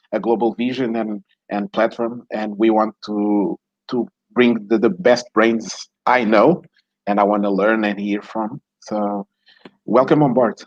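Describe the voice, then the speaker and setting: A man's voice: mid-range voice, darkish tone, no room sound, highs cut off. A man from Mozambique, hosting an online talk show in a hesitant way with many fillers and restarts. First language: Portuguese. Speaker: show host.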